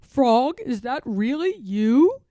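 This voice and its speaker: A woman voicing a proud but easily worried male toad in a children's storybook, with loud, dramatic cries. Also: fairly high pitch, big pitch swings, takes it slowly, dry recording. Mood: amazed, relieved. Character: boastful male toad turning anxious and lonely.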